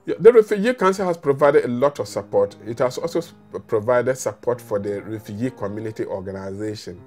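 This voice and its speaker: A man's voice: medium pitch, lively intonation, brisk, a little mellow, faint music behind. A man from Ghana, giving articulate, earnest interview testimony. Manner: earnest, articulate, some repetition. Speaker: interviewee, former refugee.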